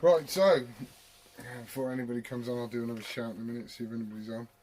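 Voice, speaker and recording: British male CB hobbyist, mid-pitched, rambling and sweary, faint radio hiss around him. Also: steady tone, quick pace, darkish tone. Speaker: CB radio operator and vlogger. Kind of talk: casual chat and radio calls.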